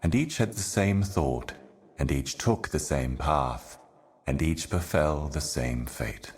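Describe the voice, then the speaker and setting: A man voicing an ancient, weary otherworldly envoy in a video game, delivering cryptic poetic monologues in a grave, brooding, measured manner. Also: a bass voice. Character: ancient solemn otherworldly envoy, weary eternal servant of cosmic darkness.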